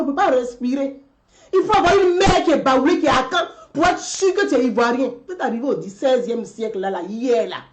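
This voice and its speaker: A woman, delivering a rhetorical political commentary and repeating her questions. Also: high voice. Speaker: commentary vlogger.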